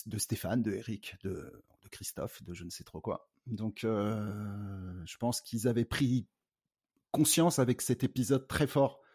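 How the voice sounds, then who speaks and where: A man from the Paris suburbs talking casually as an interview guest, in a colloquial, halting way. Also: fairly low pitch, mellow, husky. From Paris suburbs, France. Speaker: guest, rap artist.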